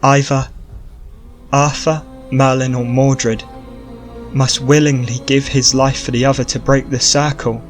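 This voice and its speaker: Grave female sorceress, read by a man, very slow delivery, faint music bed. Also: mid-range voice, little pitch movement, natural voice. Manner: slow, measured reading.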